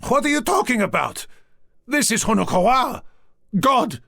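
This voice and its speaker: A man voicing a small, excitable chieftain who speaks in high, drawn-out, giddy exclamations. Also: creaky, no room sound, clean studio sound, exaggerated voice. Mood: eager.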